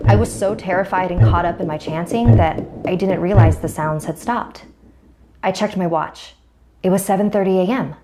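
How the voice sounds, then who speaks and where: A woman narrating a scripted first-person horror story as a storyteller. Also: fairly low pitch. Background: music.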